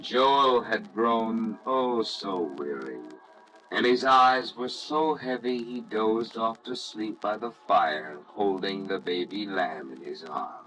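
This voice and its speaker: A man narrating a scripted story in a classic radio reading style, expressive, measured and reverent. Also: fairly low pitch, slight background noise, low-quality recording.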